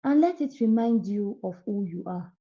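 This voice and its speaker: A woman's voice: mid-range voice, expressive, moderate pace, warm tone, muffled recording, lightly acted. A woman voicing an earnest, defiant young stepsister in a folktale. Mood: encouraging. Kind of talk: folktale character voice.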